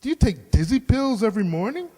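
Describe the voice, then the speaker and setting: A man's voice: high-pitched, very animated, fast talker, mellow. A man playing a brusque, sarcastic, impatient office boss in old-time radio comedy style. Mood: exasperated. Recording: echoey hall, long reverb.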